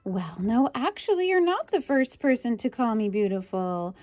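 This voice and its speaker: A high, swooping storybook pig voiced by a woman reading aloud, playful and full of expression. It is a contented, well-mannered creature that can also get bossy, speaking in a close, dry sound. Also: sing-song, normal speed, darkish tone, no echo. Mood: modest. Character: talking pig, contented, polite, sometimes bossy. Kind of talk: children's storybook read-aloud.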